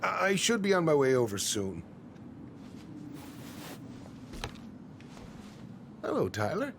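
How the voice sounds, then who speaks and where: An actor playing an evasive, folksy middle-aged small-town man in a naturalistic video game drama, with a creaky voice. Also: on the high side, wildly swooping pitch, a little fast, a bit bright, slight background noise, heavily acted. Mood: harried, businesslike.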